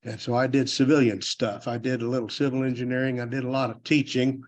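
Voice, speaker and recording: A man's voice: mid-range voice, flat delivery, fast, highs cut off. An older retired man with a Texas accent gives a plainspoken, rambling technical talk at a convention, joking about himself along the way. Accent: Texan. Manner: plainspoken, self-deprecating, loose and rambling. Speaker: conference speaker. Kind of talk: folksy technical presentation. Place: university convention hall.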